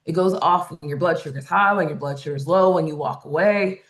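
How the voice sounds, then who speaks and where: A woman with a loud voice facilitating a design webinar, explaining points conversationally and checking in with "right?". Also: sits low, fast talker. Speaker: workshop facilitator. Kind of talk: webinar presentation.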